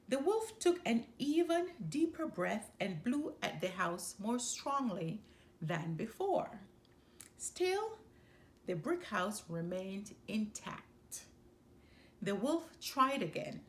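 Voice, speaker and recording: A woman reading a children's story aloud as an expressive storyteller. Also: medium pitch.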